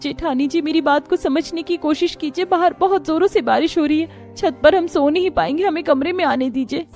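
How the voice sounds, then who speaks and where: A woman's voice: high-pitched, a little fast, darkish tone, soft background music. A woman playing a patient, dutiful young bride in a cartoon moral story, speaking politely and earnestly. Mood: anxious, pleading.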